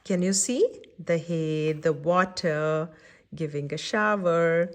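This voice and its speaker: A woman's voice: sits low, takes it slowly. A woman reading a picture book aloud to young children, adding simple chatty explanations and checking in with her listeners. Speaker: children's story-time reader and host.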